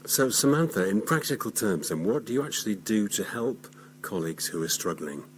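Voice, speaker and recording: A man's voice: lowish voice, lively intonation, fairly quick, slight background noise. A man asking questions as a radio interviewer in a conversational style.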